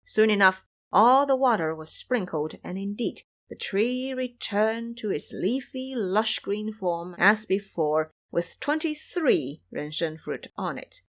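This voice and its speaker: A woman narrating a scripted audio drama in a measured, expressive storytelling manner. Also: medium pitch.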